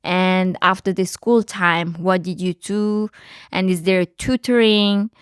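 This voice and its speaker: Host: young woman, slow and very clear voice, halting questions. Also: fairly low pitch, steady tone, no echo, studio quality. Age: young adult. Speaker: podcast host, junior researcher. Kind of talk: interviewing.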